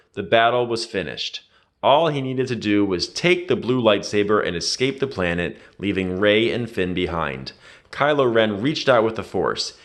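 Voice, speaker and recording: A man's voice: fairly low pitch, a bit bright. A man reading a children's adventure story aloud with dramatic, emphatic storytelling. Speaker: storybook reader.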